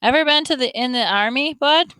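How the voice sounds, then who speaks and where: A woman's voice: sits high, brisk, pushed and bright, clear, resonant tone, no echo, clean studio sound, voice pushed up. A woman reading the part of a friendly, teasing older man, a grandfather and former soldier, in a folksy, joking way.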